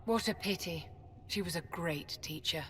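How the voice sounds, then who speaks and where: An actress playing a composed, grave older court mage in a video game, speaking in a measured, formal, gently condescending way. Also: female voice, on the low side, lightly acted. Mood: pointed regret. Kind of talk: video game dialogue acting. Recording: long hall reverb.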